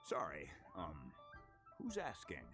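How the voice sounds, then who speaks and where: Slow, deep-voiced bumbling blacksmith. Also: male voice, wildly swooping pitch, heavily acted. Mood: befuddled, friendly. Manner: hesitant, bumbling, throat-clearing. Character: absent-minded, good-natured working blacksmith man, slow-witted, flustered. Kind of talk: video game character acting. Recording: prominent background music.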